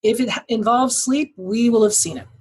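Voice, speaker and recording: A woman guest giving articulate, measured explanations as a sleep clinician. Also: a little high, a little mellow, slight background noise. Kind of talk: explanatory interview answers.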